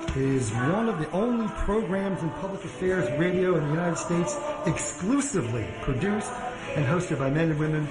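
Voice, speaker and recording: Man introducing a speaker, halting, fillers, echoey hall, loud music over it. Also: fairly high pitch, lively intonation. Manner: halting, many fillers and restarts. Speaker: event moderator introducing a speaker. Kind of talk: formal introduction speech.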